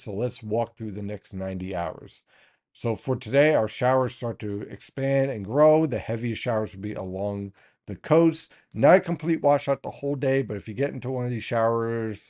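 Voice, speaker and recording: A man in his thirties presents a weather forecast to the camera from a small home studio. He explains at an even, steady pace and addresses his viewers directly, recorded on a desk mic. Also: mid-range voice.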